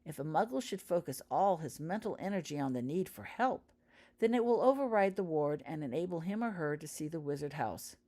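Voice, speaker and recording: A woman voicing a calm, knowledgeable student prefect explaining patiently in a storybook reading. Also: low-pitched, fairly quick.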